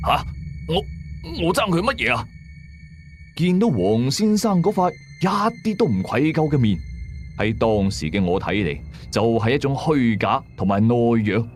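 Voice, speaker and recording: 一個香港粵語口音嘅男人，用第一身、口語化又戲劇性嘅方式講廣播鬼古。. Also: male voice, medium pitch, big pitch swings, moderate pace, a little mellow, faint music behind. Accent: Hong Kong Cantonese. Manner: dramatic, colloquial. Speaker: radio drama narrator. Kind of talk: first-person ghost story narration.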